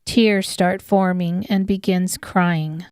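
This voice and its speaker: A woman narrates an audiobook story at a slow, deliberate pace. Her mid-pitched voice is smooth and clear, recorded very dry. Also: steady tone, darkish tone, no echo. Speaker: author and narrator.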